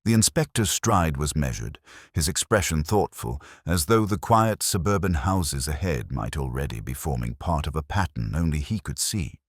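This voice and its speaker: A man narrating a scripted mystery story in a measured, literary manner. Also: extremely low pitch, lively intonation, dry recording, studio quality. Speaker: audiobook narrator.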